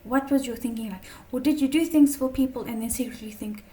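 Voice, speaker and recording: A woman teaching a tarot lesson in a chatty, casual way, her voice slightly croaky from a long day of talking, often checking in with "okay?". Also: on the high side, fairly quick, mellow, distant mic. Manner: chatty, many fillers and "okay?" check-ins.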